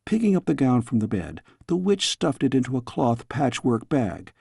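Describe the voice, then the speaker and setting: A man reading a storybook as scripted audiobook narration, in a measured, expressive storytelling way. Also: medium pitch, gravelly, breathy edge, no room sound, clean studio sound. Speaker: audiobook reader.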